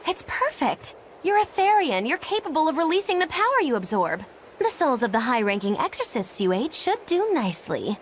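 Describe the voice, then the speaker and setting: A woman's voice: sits high, big pitch swings, normal speed, a little hiss or hum. An actress playing a smug, theatrical and mischievous young witch in a video game. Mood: gleeful. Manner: theatrical, knowing. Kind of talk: animated video game voice acting.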